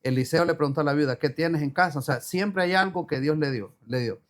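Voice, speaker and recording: A man's voice: fairly high pitch, fairly quick. A man being interviewed as an entrepreneur, talking earnestly, repeating phrases and quoting the Bible. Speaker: guest entrepreneur. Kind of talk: casual chat with preacherly flourishes.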